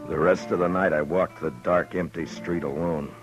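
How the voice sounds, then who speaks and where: Low voiced old west lawman telling his story, grave n terse, 50s radio show, music behind. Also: steady tone, moderate pace, dull audio. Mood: somber, brooding. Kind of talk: 1950s radio western acting, first-person narration. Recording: vintage 1950s radio recording with music.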